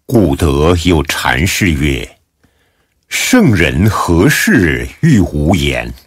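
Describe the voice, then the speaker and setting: Deep, husky, slow male narrator. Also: no room sound, studio quality. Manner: measured, expressive recitation. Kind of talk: solemn scripted narration of a Buddhist audiobook drama.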